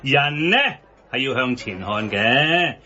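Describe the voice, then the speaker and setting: A man's voice: mid-range voice, lively intonation, takes it slowly, pushed and bright, dull audio, a little hiss or hum, full character voice. An actor playing a blustery, worldly-wise middle-aged man who scolds and preaches in colloquial Hong Kong Cantonese in a radio satire. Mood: preachy.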